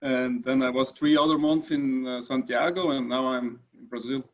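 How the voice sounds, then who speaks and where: A man chats in a hesitant way, often pausing on "uh" and restarting his sentences. His voice sits mid-range and comes through a slightly muffled call line. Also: mid-range voice, fairly even intonation. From northern Italy, German-speaking minority. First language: German. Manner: hesitant, many uh fillers and restarts. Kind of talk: casual video call chat.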